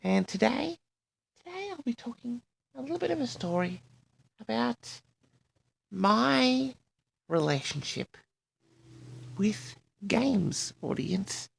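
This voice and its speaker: A man in his sixties from Australia hosting a personal audio log, reminiscing in a rambling, halting and boastful way straight to his listeners. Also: very high-pitched, big pitch swings, vocal fry, slight background noise, low-quality recording. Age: 60s. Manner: boastful, halting, often addresses the audience.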